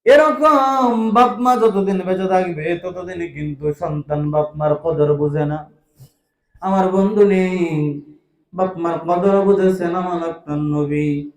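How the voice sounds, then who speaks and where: High-pitched Bangladeshi preacher, echoing sermon. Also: male voice, steady tone. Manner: pleading, drawn-out delivery with rhythmic repeated appeals to listeners. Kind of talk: emotive sermon with chanted, sing-song storytelling. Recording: echoing sound system.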